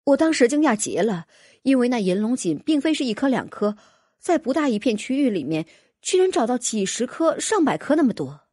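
A woman's voice: on the high side, very animated, fairly quick, warm tone, no echo, clean studio sound, pitched above the performer's own voice. A woman voicing an anxious dragon noblewoman in a fantasy audiobook, earnestly recounting a frightening ordeal with apprehension. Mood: distressed.

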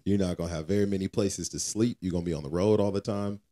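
Deep voiced guy on a faith podcast, real mellow, casual slangy talk but kinda preachy. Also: fairly even intonation, fast. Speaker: podcast co-host.

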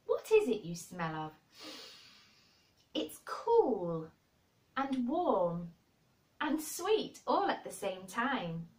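A woman's voice: medium pitch, wildly swooping pitch, unhurried, few pauses, a bit bright, heavily acted. A woman voicing a curious, joyous young boy in an audiobook story, full of wondering exclamations. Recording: roomy echo, distant microphone.

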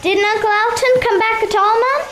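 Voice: very high-pitched, steady tone, normal speed, over music. A performer voicing an inquisitive, blunt nine-year-old farm girl with a childlike, questioning manner in a radio play. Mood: curious.

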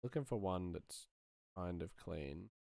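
Deep creaky man thinking aloud. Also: lively intonation, measured pace, background noise. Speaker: sound designer, presenter. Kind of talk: casual think-aloud tutorial commentary while working.

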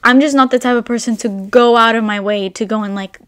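A young woman from Albania talks casually to the camera, her voice sitting a little high for a woman. She rambles through answers with plenty of "like" and "um". The sound is close and plain, recorded indoors in front of a brick wall. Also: steady tone, a little fast, smooth. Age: 20s. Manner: chatty, rambling, full of "like" fillers. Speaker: vlogger.

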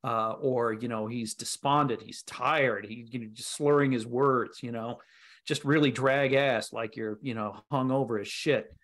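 A man's voice: mid-range voice, fairly even intonation, unhurried, fairly bright tone. A middle-aged man chatting casually on a video call interview, rambling and informal with a salty turn of phrase. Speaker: guest, audio drama voice actor. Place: indoor room, video call.

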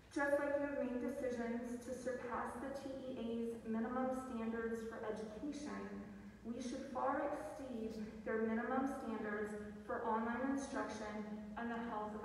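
A woman's voice: a little high, normal speed. A middle-aged woman, a pediatrician reading a firm, earnest public comment at a school board meeting. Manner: earnest, firm, steady pleading. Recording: echoey hall, distant mic, faint hiss.

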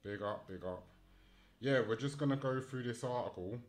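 A man's voice: medium pitch, moderate pace. A man hosting a live online news and chat show, talking casually and thinking aloud as he goes. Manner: informal, unscripted, thinking aloud. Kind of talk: casual live stream chat and article reading.

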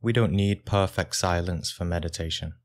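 A man leading a guided meditation as a teacher, speaking calmly in short phrases with long pauses. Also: deep voice.

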